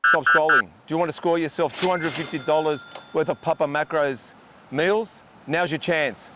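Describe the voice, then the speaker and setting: A man reading a brisk promotional spot for a podcast. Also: on the high side, pushed and bright, slight background noise. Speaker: announcer of show ads.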